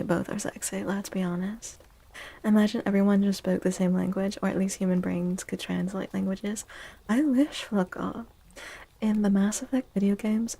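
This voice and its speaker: A woman streamer chatting softly and drowsily in a very chill ASMR style, often dropping into a whisper. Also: medium pitch. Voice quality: soft, breathy. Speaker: live stream host. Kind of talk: soft-spoken ASMR chat with stream viewers.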